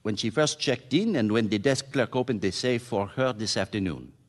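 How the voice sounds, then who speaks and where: A man's voice: on the low side, moderate pace, lightly acted. An actor playing a precise, earnest Frenchman in a radio mystery, with a French accent and careful, hesitant English. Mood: puzzled. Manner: formal, careful wording, hesitant searching for English words. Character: tidy, precise Frenchman, former soldier, earnest and puzzled. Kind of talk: radio mystery drama acting.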